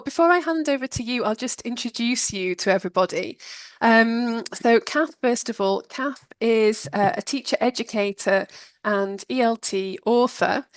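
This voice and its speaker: A woman's voice: mid-range voice, dry recording. A woman hosting a live webinar, upbeat and welcoming as she greets the audience and introduces the guests. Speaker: webinar host.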